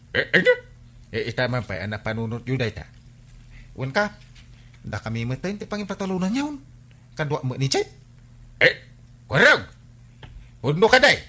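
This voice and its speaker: An excitable Ilocano village man in a radio comedy. His bright, forceful voice swings widely in pitch as he argues and complains with plenty of exclamations. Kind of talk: broad comedy radio drama acting.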